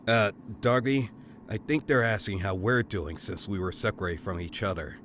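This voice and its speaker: An actor playing a reserved, awkward shadow demon in a fantasy comedy audio drama, hesitant and understated. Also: male voice, on the low side, measured pace, fairly bright tone, a little hiss or hum. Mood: hesitant, calm. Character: reserved, awkward male shadow demon, patient straight man.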